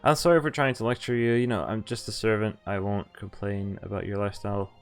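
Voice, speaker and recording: A man's voice: lowish voice, fairly even intonation, quick pace. A man streaming a visual novel, reading its text aloud with casual commentary over game music. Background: game background music.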